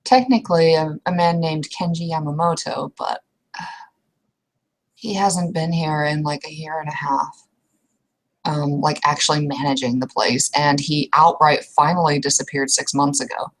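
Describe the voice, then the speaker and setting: A woman playing an anxious young female restaurant manager in a roleplay, speaking in a hesitant, halting way. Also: sits low, steady tone, poor audio. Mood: stressed, worried. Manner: hesitant, halting, fragmented speech.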